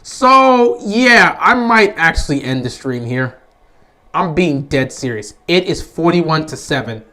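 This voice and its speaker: A young man with a sore, hoarse voice, giving casual live commentary on a football game he is streaming, resigned and frustrated. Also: fairly high pitch, animated, a little slow, sharp tone. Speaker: livestream host.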